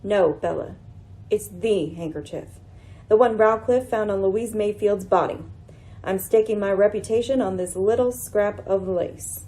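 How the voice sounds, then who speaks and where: A woman acting a shrewd, commanding lady detective in a radio mystery, speaking in a measured, formal, theatrical way. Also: medium pitch, dark tone, natural voice, low-quality recording. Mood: assured, deductive.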